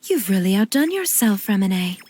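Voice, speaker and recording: A woman voicing a gentle, composed young mother in a video game, speaking courteously and with measured kindness. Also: medium pitch, big pitch swings, heavy background noise, lightly acted. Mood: warm, polite.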